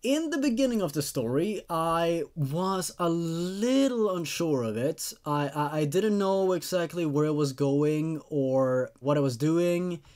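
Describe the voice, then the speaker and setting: A man hosting a casual online story review, talking to viewers in a halting, stammering way with repeated words. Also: on the high side, animated, darkish tone. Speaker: host of a horror story review show.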